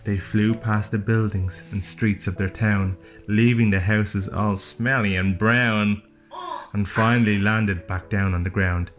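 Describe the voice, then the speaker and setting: A man's voice: fairly low pitch, steady tone, a little slow. A man reading a rhyming storybook aloud as a father would to his child, with playful, chatty asides. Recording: music playing behind the voice. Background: plucked guitar music.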